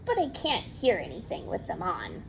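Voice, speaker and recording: Give me a gentle, earnest little owl, unsure of himself, put on by a woman reading aloud. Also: medium pitch, wildly swooping pitch, moderate pace, gravelly, a little hiss or hum, voice pushed down, rough recording. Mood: mild. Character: worried gentle male toy owl.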